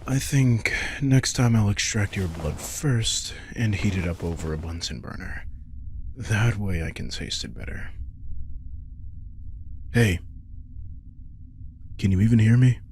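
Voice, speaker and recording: A man playing a sardonic, ancient vampire king in an intimate roleplay audio drama, low and gravelly, teasing with dry menace. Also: darkish tone, a little hiss or hum. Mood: casual, brisk. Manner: unhurried, dry, casual swearing, teasing menace. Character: sardonic centuries-old male vampire king, hungry and teasing.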